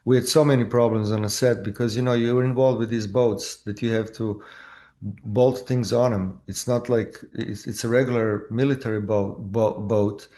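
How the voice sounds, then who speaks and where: A man with a Croatian accent, an actor telling his story in a casual interview, halting with many fillers and restarts. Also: medium pitch, fairly even intonation, mellow. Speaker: actor guest.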